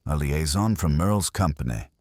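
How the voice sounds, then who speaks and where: A man's voice: very deep voice, lively intonation, moderate pace, a little mellow, no echo. A man voicing a careful, guilt-burdened doctor in a mystery audiobook, formal and resigned in manner. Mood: reluctant confession.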